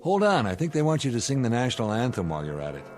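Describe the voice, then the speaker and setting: An American actor playing an irritable, sarcastic spaceship commander in a sci-fi radio drama, wry and needling. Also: male voice, mid-range voice, wildly swooping pitch, racing, a little mellow, faint music behind.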